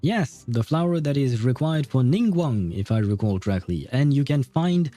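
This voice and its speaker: A man's voice: mid-range voice, lively intonation, moderate pace, warm tone, soft background music. A man livestreaming a video game, chatting casually and in a friendly, rambling way as he comments and reads dialogue aloud. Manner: chuckles often, rambling and friendly.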